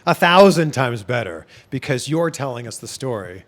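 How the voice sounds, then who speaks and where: A man's voice: mid-range voice, very animated, moderate pace, fairly bright tone. A man from Toronto hosting a casual interview, chatty and enthusiastic. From Toronto, Canada. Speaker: interview host.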